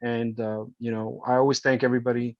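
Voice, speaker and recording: A man's voice: mid-range voice, level tone, fairly quick, no room sound. Earnest man in his fifties, dull dry audio. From Colombia, raised in New Jersey. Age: 50s. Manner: earnest, heartfelt, patriotic. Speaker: podcast guest. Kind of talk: casual interview storytelling.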